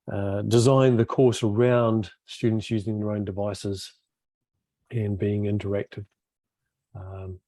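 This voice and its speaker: A man introducing himself on a video call, relaxed and rambling with many fillers, as the group's convenor. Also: on the low side, no echo.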